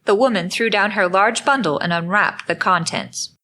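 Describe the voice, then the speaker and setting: A woman narrator with a bright, forceful voice at middle pitch. She reads a dramatic story in a scripted style, phrasing it deliberately.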